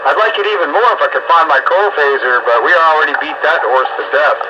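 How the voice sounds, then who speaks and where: A man with a slightly high voice talks through a muffled CB radio signal thick with noise. He is plainspoken and a bit grumbly. His delivery is casual chat, like someone hosting a radio roundup. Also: fast talker. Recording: muffled, noisy CB radio transmission.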